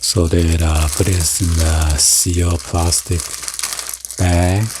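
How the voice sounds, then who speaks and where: A man casually narrating an unboxing in a halting, hesitant way, as the host of the video. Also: deep voice, flat delivery. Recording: noisy, with crinkling packaging and clicks. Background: packaging crinkling and clicking.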